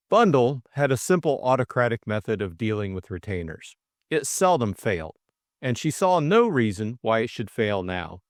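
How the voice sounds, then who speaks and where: A man's voice: medium pitch, no echo, studio quality. A man reading scripted story narration as an audiobook narrator.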